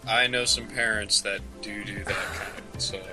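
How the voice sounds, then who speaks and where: A man's voice: on the low side, steady tone, normal speed, over music, rough recording. A man co-hosting a podcast with joking, crude, casual banter. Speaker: podcast co-host.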